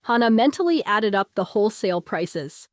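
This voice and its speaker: A woman narrates fiction from a script. Her voice sits at a medium pitch, and the recording is dry with no room sound. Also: lively intonation, normal speed, no room sound.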